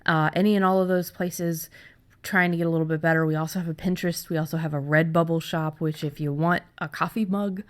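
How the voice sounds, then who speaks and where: A woman's voice: low-pitched, fairly even intonation, fast talker. A woman hosting a podcast in a casual, informal, rambling chat style. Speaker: podcast host.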